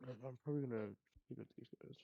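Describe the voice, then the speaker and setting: A man's voice: medium pitch, fairly even intonation, rapid-fire, vocal fry, no room sound, narrow, tinny audio. A man chatting casually as a player in a tabletop game, deliberating aloud over his moves.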